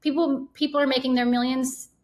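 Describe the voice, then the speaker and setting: Animated, high-voiced woman telling her story. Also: a little fast, slight background noise. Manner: animated storytelling with quoted asides. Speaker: podcast guest. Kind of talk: casual interview chat.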